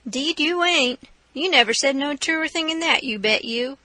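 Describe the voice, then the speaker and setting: A woman voicing a calculating grown-man thief who talks quietly in folksy dialect. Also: sits high, lively intonation, normal speed, no room sound. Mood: mocking.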